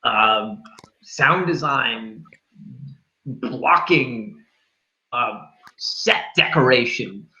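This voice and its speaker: A man's voice: mid-range voice, lively intonation, extremely slow, long pauses, sharp tone, muffled recording. A man hosting a casual movie review chat, speaking informally and jokily with the odd swear word. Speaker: film review show host.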